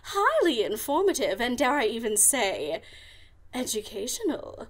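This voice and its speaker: A woman's voice: fairly high pitch, wildly swooping pitch, measured pace, heavily acted. A woman putting on a mock-posh, prim female pony in an audio drama, with affected, exaggerated delivery. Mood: prim admiration. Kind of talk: fan audio drama acting.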